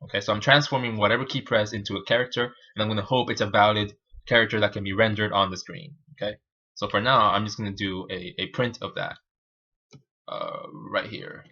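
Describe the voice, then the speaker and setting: A native Spanish-speaking man teaches programming live, talking through each step informally and haltingly. His voice is fairly low yet bright and forceful. The livestream audio sounds somewhat muffled and lo-fi. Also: fairly even intonation. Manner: halting, informal, self-narrating. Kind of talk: live coding tutorial, thinking aloud.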